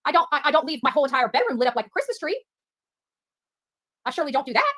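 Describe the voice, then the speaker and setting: A woman with a high voice hosts a rambling livestream at a quick clip. She is emphatic and often repeats herself, recorded dry with hardly any echo. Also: sing-song, a bit bright. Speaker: livestream host reading viewer chat. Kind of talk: rambling live-stream chat.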